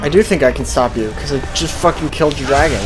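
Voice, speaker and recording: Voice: medium pitch, quick pace, poor audio. A young adult gaming streamer giving casual, sweary gameplay commentary in a sarcastic, mocking way. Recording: voice over game music.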